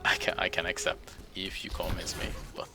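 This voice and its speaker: A man's voice: deep voice, monotone, fairly quick, vocal fry, over music. A young man with a Hungarian accent, English not his first language, giving rambling, chuckling, self-deprecating commentary over gameplay. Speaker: game streamer.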